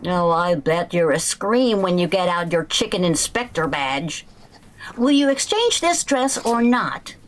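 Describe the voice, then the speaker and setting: A woman playing a flustered, scheming housewife in old-time radio comedy, expressive and exclaiming. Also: fairly low pitch, unhurried. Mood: prim, impatient.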